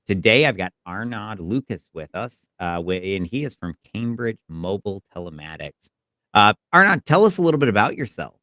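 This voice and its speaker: A man's voice: fairly low pitch, big pitch swings, normal speed, sharp tone, dry recording. A man hosting an interview show, upbeat and laughing along with his guest. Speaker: host. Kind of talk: casual interview chat.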